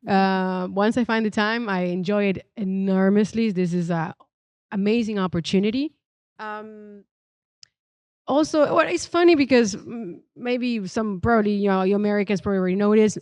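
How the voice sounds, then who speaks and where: A woman's voice: medium pitch, moderate pace, darkish tone, clear, resonant tone, dry recording. A woman in her thirties from Argentina, a Spanish native speaker with an Argentinian accent, thinking aloud on a live panel with many fillers as she searches for words. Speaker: podcast co-host.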